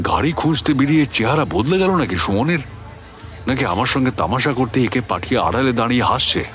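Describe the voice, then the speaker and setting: A man playing a puzzled, suspicious narrator of a radio story, conversational and expressive, with a West Bengal Bengali accent. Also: medium pitch. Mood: puzzled, wry. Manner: conversational, expressive, self-questioning. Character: puzzled, suspicious man recounting a baffling encounter. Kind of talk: dramatized first-person storytelling for radio.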